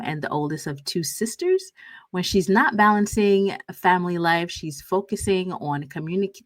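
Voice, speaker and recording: Chatty woman podcast host, lowish voice. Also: no room sound. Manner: chatty and animated, with many fillers and playful asides. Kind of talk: casual podcast chat.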